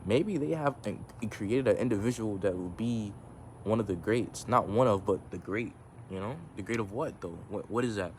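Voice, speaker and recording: Male vlogger, deep voice, casual and reflective, phone mic outdoors, slight background noise. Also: normal speed. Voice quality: can go monotone. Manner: rambling, reflective, many restarts and filler. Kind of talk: casual talk to camera. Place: outdoors in the sun. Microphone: phone camera.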